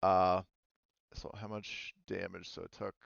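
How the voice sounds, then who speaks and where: A man's voice: low-pitched, flat delivery, measured pace, creaky, no room sound, lo-fi sound. A man running a tabletop roleplaying game as game master, explaining rules casually with lots of fillers.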